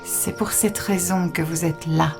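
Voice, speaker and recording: Calm cryptic alien priestess, deep for a woman, solemn, scifi audio drama w music. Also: vocal fry. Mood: grave, insistent. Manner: solemn, measured, unruffled. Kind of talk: French sci-fi audio drama.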